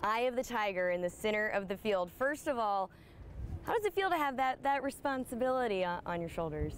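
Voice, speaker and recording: A young woman in her twenties, a sports reporter, interviewing a guest in an upbeat, lively way, recorded outdoors on a lapel mic. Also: fairly high pitch, brisk, a little hiss or hum. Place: outdoors in a large empty stadium.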